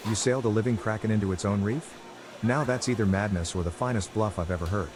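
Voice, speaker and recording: A man's voice: lowish voice, fast talker, natural voice. A man playing a blustery, wary fantasy tavern adventurer in an audio drama, exclamatory and skeptical, with faint background noise. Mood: impressed.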